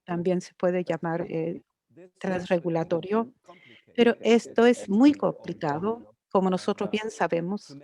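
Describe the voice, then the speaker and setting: A woman interpreting an academic lecture live, halting and correcting herself as she goes. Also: fairly low pitch, warm tone. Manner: halting, with frequent restarts and self-corrections. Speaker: conference interpreter.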